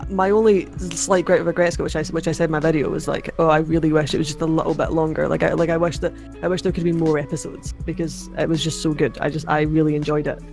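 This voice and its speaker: A young woman with a mid-range Scottish accent, chatting casually as a podcast guest, quick to laugh and restart her sentences. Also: low voice, little pitch movement, vocal fry, a little mellow, faint music behind. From Scotland. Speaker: podcast guest, anime video creator. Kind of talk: casual chat.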